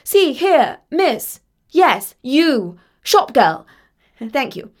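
Storybook princess, played by a woman narrator: young, imperious, melodramatic, high and slow-paced delivery. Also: big pitch swings. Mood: haughty, imperious. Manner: haughty, demanding, melodramatic. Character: haughty, dramatic young princess, imperious and easily outraged. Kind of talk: acted dialogue in a storybook reading.

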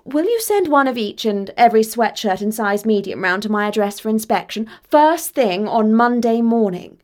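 A woman's voice: on the high side, very animated, unhurried, pitched above the performer's own voice. A woman voicing a haughty, dramatic young princess in a storybook, demanding and melodramatic. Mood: haughty, imperious. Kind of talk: acted dialogue in a storybook reading.

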